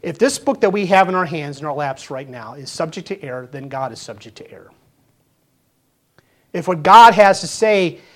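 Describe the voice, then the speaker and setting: A man's voice: fairly high pitch, expressive, normal speed, fairly bright tone. A man of fifty, a pastor, preaching a sermon in an earnest, conversational way, full of rhetorical questions and small restarts. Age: 50s.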